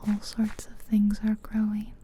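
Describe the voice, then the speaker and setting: A woman doing a soft-spoken ASMR guided visualization in a breathy whisper, soothing, with pauses between short phrases, close to the mic. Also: medium pitch, monotone, a little hiss or hum. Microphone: close stereo ASMR microphone. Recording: close ear-to-ear mic, music behind.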